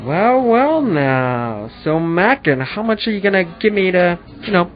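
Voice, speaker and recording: A man's voice: high voice, big pitch swings, a little slow, slight background noise. A man playing a smug, corrupt policeman with an oily, taunting manner in a noir radio play. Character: smug, corrupt male police officer shaking down a detective. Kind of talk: 1940s radio noir acting. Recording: dull lo-fi audio with music.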